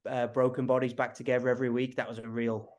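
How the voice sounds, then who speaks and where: A man's voice: medium pitch, fast. Friendly Liverpool-accented man. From Liverpool, England. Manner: friendly, relaxed storytelling. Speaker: strength coach, physio. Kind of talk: interview guest.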